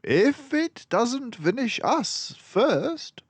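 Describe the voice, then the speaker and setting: A man's voice: very high-pitched, very animated, very slow, breathy edge, no room sound, pitched above the performer's own voice, heavily acted. A man voicing a clever, confident mouse detective from a storybook, with an emphatic, precise, lecturing manner. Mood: brisk, wry. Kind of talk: storybook character voice.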